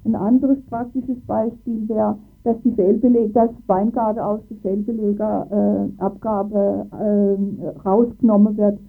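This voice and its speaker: A woman's voice: mid-range voice, level tone, unhurried, vocal fry, few pauses. A woman explaining local issues in a radio interview, often searching for her words. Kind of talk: political discussion in a radio interview. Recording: tinny phone-like band, music behind.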